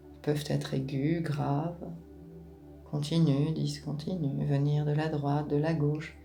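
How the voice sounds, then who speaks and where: A woman guides a relaxation meditation in a low, mellow voice. She speaks very slowly and gently, leaving space between short, soothing instructions. Music plays behind her. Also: vocal fry. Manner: calm, gentle, unhurried phrasing with pauses.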